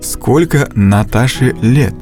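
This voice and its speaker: A man reading scripted narration for a language course, with slow, deliberate instructional delivery and a close studio mic. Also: mid-range voice, animated, a little mellow, soft background music.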